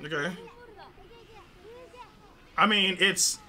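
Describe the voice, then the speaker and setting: A young man reacts to a game trailer on a headset, his voice pitched very high and strikingly bright. He drawls slowly between bursts of excited yelling, with faint trailer music playing underneath. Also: a faint crowd, a little hiss or hum. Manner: hyped, yelling and laughing, slang-heavy. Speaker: gaming video host. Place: small home gaming room, indoors.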